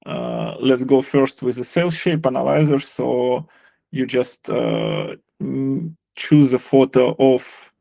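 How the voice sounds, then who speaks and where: A man presenting a live software walkthrough, halting and often repeating words as he explains. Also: mid-range voice, sing-song, gravelly, no room sound. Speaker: software presenter and sailing analyst.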